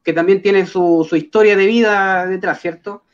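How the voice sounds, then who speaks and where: Chilean host, muffled call audio. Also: male voice, high-pitched, steady tone. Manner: measured, many fillers and tag questions. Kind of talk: hosting an online boxing talk show.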